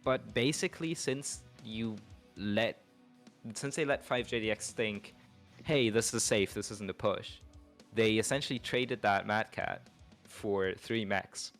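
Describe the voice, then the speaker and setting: A man's voice: on the low side, unhurried, a bit bright, faint music behind. A man giving casual esports analysis, explaining his points step by step. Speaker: guest analyst.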